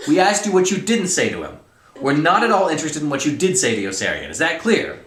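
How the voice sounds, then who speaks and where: Storybook colonel, played by a man: loud exasperated bully, bright strained pitch, echoey room. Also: wildly swooping pitch, fast talker. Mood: exasperated, badgering. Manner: shouting, exasperated, badgering interrogator. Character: furious, bullying, big military colonel barking at cadets.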